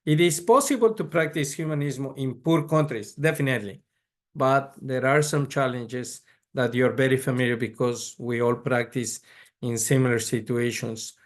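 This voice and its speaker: Measured man lecturing. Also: mid-range voice. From Ecuador. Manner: measured, frequent restarts and repeated words. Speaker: pediatric neurologist lecturer. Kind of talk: conference lecture.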